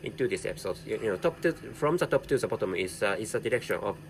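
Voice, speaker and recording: A man whose first language is Japanese, speaking English with a Japanese accent in a halting solo commentary, repeating words and correcting himself. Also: on the low side, expressive, a little hiss or hum. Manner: halting, with heavy repetition and self-correction. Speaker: podcast host.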